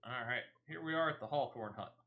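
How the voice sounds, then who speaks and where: A streamer talks through his gameplay in a relaxed, chatty way, narrating each step as he goes. He throws in corny jokes at his own expense and apologizes for them. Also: mid-range voice, brisk, phone-quality sound. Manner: chatty, self-deprecating jokes. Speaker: gaming streamer. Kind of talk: casual gameplay commentary.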